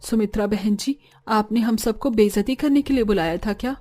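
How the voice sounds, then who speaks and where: A woman's voice: medium pitch, fairly quick, mellow, close to an everyday voice. A woman voicing a demanding, fault-finding mother-in-law in a cartoon family drama. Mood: affronted.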